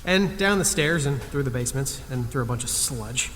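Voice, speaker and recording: A man's voice: medium pitch, lively intonation, moderate pace, fairly bright tone, lightly acted. An actor playing a hapless, self-deprecating museum cleaner in an audio drama, a man who talks in a hesitant, sarcastic, rambling way. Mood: nervous. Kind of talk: naturalistic comedic audio drama acting. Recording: long cavernous reverb with faint noise.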